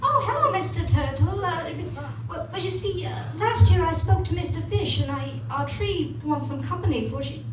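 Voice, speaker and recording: A woman's voice: extremely high pitch, lively intonation, normal speed, few pauses, far from the mic, crowd noise, pitched above the performer's own voice. A woman voicing an earnest, polite and anxious young peasant boy in a fairy tale, hesitant and stammering as if pleading. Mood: nervous, polite. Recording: very echoey room with noise.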